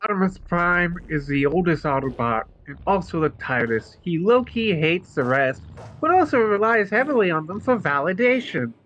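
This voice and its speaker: A man's voice: high voice, very animated, moderate pace, fairly bright tone. A man reading comedic scripted narration in a fan dramatic reading. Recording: dull lo-fi audio.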